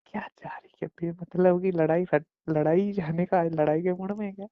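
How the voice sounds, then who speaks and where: A young man with a gravelly voice, chatting casually and intimately with his partner on a phone call. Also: high-pitched, steady tone, moderate pace, no room sound. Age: young adult. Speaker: boyfriend. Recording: muffled lo-fi phone call recording.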